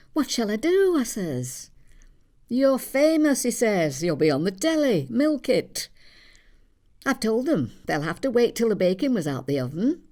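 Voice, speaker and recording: Woman acting elderly Yorkshire mother, plain-spoken, easily affronted, swooping expressive pitch, matter-of-fact storytelling, theatre monologue. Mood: wry, bemused. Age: older. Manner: dry, matter-of-fact, gossipy. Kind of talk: conversational storytelling stage monologue.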